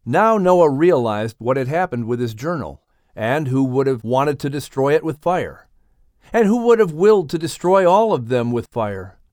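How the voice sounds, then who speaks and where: A man's voice: medium pitch, a little mellow, no echo, studio quality. A man hosting and narrating a scripted story for a family audiobook show. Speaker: host and narrator.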